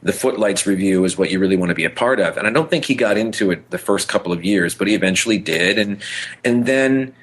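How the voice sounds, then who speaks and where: A man co-hosting a podcast, chatting casually and enthusiastically in a rambling, halting way that repeats words. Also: deep voice, a bit bright. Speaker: podcast co-host. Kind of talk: casual chat about pop culture.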